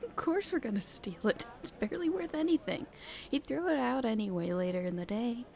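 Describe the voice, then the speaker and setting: A woman playing a cheeky young street thief in an ASMR roleplay, chatting casually and haltingly to the listener. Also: a little high, lively intonation, creaky, darkish tone. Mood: giddy, mischievous. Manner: casual, halting speech with hesitations.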